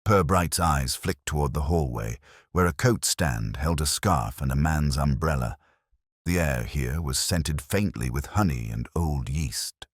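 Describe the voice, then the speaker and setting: A man's voice: a bass voice, expressive, measured pace, breathy edge, no echo. A man narrating a scripted mystery story in a dry studio recording.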